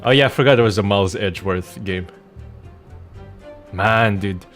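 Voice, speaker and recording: A man's voice: on the low side, normal speed, fairly bright tone, faint music behind. A man giving casual gameplay commentary as a streamer, slangy and profane in a bantering way. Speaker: game streamer and voice actor.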